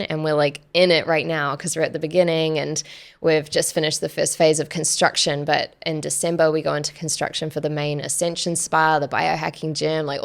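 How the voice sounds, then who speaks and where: A woman's voice: deep voice, vocal fry. A woman in her thirties telling personal stories casually as a podcast guest, often saying "like" and quoting conversations, close on the mic. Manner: conversational, frequent "like" and quoted dialogue. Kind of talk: casual personal storytelling in an interview. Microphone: close podcast microphone on arm.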